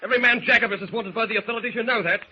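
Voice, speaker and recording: Male actor as a cynical deckhand, high-pitched, rattling fast, rough, argumentative, 1940s radio serial. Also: a little hiss or hum, lightly acted. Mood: nervy, defiant. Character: nervy, argumentative, cynical male mutinous sailor. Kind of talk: 1940s radio drama acting. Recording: muffled old radio recording.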